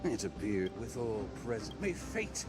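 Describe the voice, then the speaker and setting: A man's voice: fairly low pitch, big pitch swings, unhurried, loud music behind the voice. A man playing a grave, menacing ruler in a fantasy game, speaking in stately, formal phrases. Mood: cold menace. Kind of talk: dramatic game cutscene acting.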